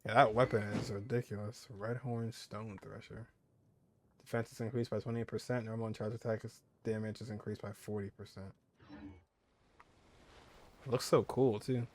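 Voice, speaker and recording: A man's voice: fairly low pitch, little pitch movement, normal speed, pauses often, a little hiss or hum. A man giving casual playthrough commentary as a gaming streamer, with mild exclamations.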